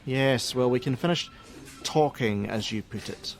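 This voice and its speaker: A man's voice: mid-range voice, a little slow, natural voice. A middle-aged man, playing an irritable, evasive father who works on a helpline in a radio play. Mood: angry, exasperated. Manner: curt, sarcastic. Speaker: helpline worker.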